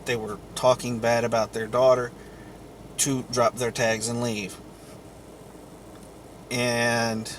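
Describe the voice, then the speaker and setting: Male vlogger, unhurried and halting, telling a rambling personal story; faint vehicle-like noise behind. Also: mid-range voice, fairly bright tone. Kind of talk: rambling personal account to camera or mic.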